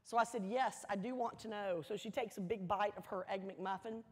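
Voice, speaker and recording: A woman giving a motivational speech, telling a personal story deliberately, with dramatic pauses and emphatic repetition. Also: medium pitch, fairly even intonation, darkish tone, breathy edge. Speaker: keynote speaker. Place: large hall, speaking to an audience. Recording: echoey hall, long reverb.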